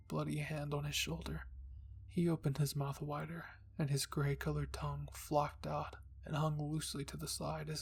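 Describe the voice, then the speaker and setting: A man reading a spooky fan-fiction story in soft, hushed ASMR narration. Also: fairly high pitch, gravelly, slight background noise. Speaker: storyteller, channel host.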